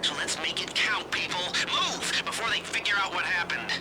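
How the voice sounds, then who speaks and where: High-pitched, neurotic criminal mastermind. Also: male voice, expressive, over loud music. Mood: smug, gleeful. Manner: halting, rambling, sardonic, with nervous giggles. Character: nerdy, neurotic, excitable criminal heist mastermind with a cane. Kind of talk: video game cutscene and mission dialogue acting.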